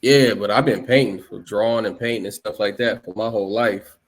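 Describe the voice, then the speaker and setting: A man's voice: fairly low pitch, steady tone, brisk. A man in his late thirties from Los Angeles, a guest artist talking casually in an interview, rambling with many fillers and restarts.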